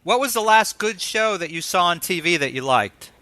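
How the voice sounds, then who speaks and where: Middle-aged male host, high voice with a dry close sound, feeling his way through conversational interview questions. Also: lively intonation, moderate pace, sharp tone, dry recording.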